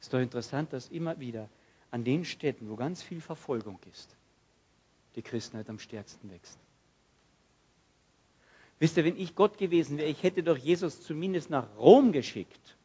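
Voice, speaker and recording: A man preaching a sermon, swinging from loud outbursts to hushed, quiet passages and acting out prayers. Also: medium pitch, animated, moderate pace, long pauses, breathy edge, dull audio.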